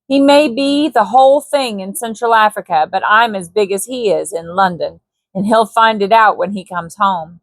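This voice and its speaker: A proud, sulky young English gentleman, put on by a woman reading aloud, haughty and grumbling as he talks. His voice is pitched a little high. The sound is close and dry, with no room around it. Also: moderate pace, no room sound, close to an everyday voice.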